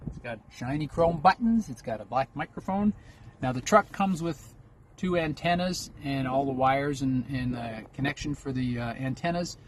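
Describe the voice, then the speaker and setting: Male vlogger, trucker type: casual, rambling, folksy explainer, mid-pitched, with vehicle ambience behind him. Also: normal speed. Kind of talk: casual unboxing vlog chat. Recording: clear background noise, vehicle ambience.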